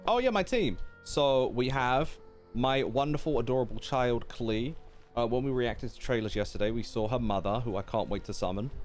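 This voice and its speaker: A man live-streams a game in a casual, chatty style. His voice sits at a medium pitch and he rambles playfully as he reacts. Faint game music plays behind him. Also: lively intonation, normal speed.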